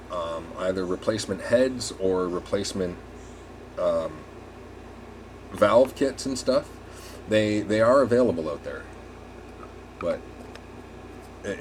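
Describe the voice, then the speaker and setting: A man's voice: fairly low pitch, a little mellow, a little hiss or hum. A man giving a casual hands-on repair demonstration, rambling and thinking aloud as he works. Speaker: RV repair technician presenting a tutorial.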